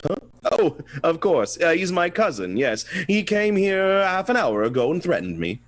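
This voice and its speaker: Man playing a very animated, genteel English expatriate. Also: on the high side, moderate pace, dry recording. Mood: casual, unbothered. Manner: hesitant, genteel, theatrical. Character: polite, evasive English expatriate, deserter and heir. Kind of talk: comic amateur radio play.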